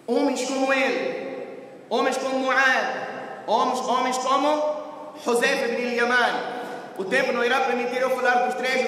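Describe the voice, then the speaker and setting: A male preacher delivers an emphatic sermon in a very high-pitched voice, stretching key words and posing rhetorical questions. He sounds far from the microphone in a big hall with long reverberation, with some background noise. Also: a bit bright. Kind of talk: sermon with storytelling and Arabic quotations. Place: large mosque, seated on the pulpit. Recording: very echoey mosque hall, distant, noisy.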